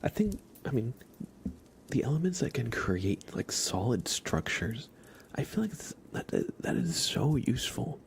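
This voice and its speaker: Male ASMR streamer, casual and hushed, dips into whispers, lots of vocal fry, slight background noise. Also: medium pitch, big pitch swings, a little slow. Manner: soft-spoken, often dropping into a whisper. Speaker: livestream host. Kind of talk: ASMR, casual rambling chat with live viewers.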